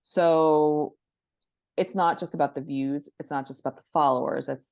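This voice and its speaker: A woman's voice: deep voice, steady tone, moderate pace, gravelly, darkish tone, no room sound. A woman answering interview questions casually as a podcast guest, sharing advice and anecdotes and repeating words for emphasis. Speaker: podcast guest, book marketing professional.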